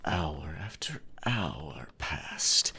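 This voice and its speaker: A man playing a captain werecat in an ASMR roleplay, telling a scary tale in a breathy, gravelly, theatrical voice with deliberate pauses. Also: a bass voice, sing-song, pushed and bright, slight background noise, heavily acted. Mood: ominous storytelling. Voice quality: breathy, gravelly half-whisper. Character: pirate captain werecat, dominant, ominous campfire storyteller.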